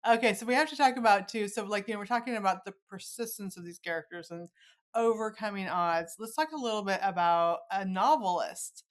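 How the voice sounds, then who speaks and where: A woman's voice: mid-range voice, fairly quick. A woman hosting a casual interview podcast, chatty and enthusiastic. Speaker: podcast host, novelist.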